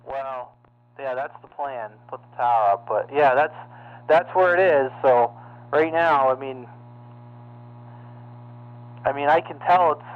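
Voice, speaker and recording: A muffled CB radio signal with a hum carries a man of medium pitch. He chats casually and haltingly, with a self-deprecating touch. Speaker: CB radio operator.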